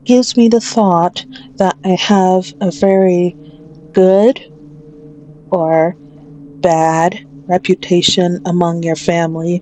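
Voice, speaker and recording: A woman performs a sadistic female mafia boss who toys with a captive in an ASMR roleplay. Her voice is dark and soft-edged, and she draws out each mocking phrase at a crawl. A faint noise sits behind the voice. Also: steady tone, poor audio. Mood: smug, menacing. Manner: slow, taunting, drawn-out with frequent low chuckles.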